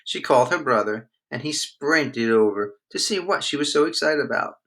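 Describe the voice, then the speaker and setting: A man reading a children's storybook aloud in an expressive storytelling manner. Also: medium pitch, moderate pace. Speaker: storybook reader.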